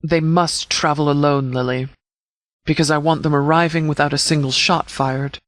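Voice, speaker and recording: A man voicing a stern military commander in a video game, speaking formally and with measured authority as he briefs. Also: on the high side, steady tone, fairly bright tone, no echo, studio quality, close to an everyday voice. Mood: commanding. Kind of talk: scripted game dialogue, mission briefing.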